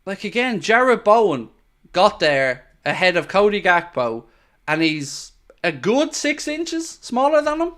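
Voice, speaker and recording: A man's voice: high voice, sing-song, a little slow, fairly bright tone. A man hosting a live football watchalong, ranting in exasperated, sarcastic reaction to his team's play. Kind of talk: live match commentary and reaction.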